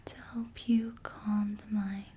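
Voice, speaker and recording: A woman speaking in a breathy whisper, giving slow, soothing ASMR relaxation guidance with gentle instructions. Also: flat delivery. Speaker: ASMR reiki practitioner. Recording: faint background noise with low rumble.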